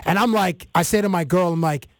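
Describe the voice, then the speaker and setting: A man from Canada hosting an interview, telling stories in an animated way with many fillers. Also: sits high, a little fast, no echo, studio quality. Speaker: podcast host. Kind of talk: casual interview chat.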